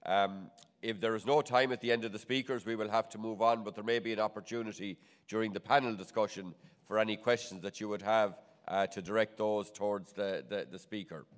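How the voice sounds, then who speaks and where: Middle-aged Irish man, stuffy and nasal, low voice, steady chairman's delivery at a podium mic, with hall reverb. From Ireland. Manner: measured, frequent restarts and fillers. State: nasal from a cold. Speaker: conference chair. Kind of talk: formal opening remarks. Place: large wood-panelled conference hall. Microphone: podium microphone.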